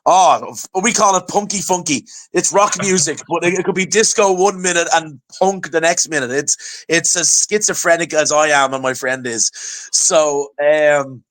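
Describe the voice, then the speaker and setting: A man with an Irish accent, talking as a podcast guest. His voice sits a little high, and he tells his stories with lively energy. He often repeats his opening words before getting going. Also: moderate pace, few pauses. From Ireland. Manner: chatty, animated storytelling with repeated restarts. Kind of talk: casual chat.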